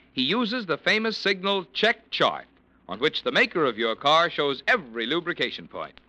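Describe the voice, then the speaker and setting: Bright, animated American vintage radio announcer, male. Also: medium pitch. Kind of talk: polished 1940s radio announcing and sponsor copy.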